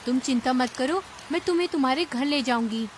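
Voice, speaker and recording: Caring young female cartoon bird played by a woman: high, earnest, concerned, with clear background noise. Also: brisk, close to an everyday voice. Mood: reassuring. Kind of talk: Hindi children's cartoon dubbing.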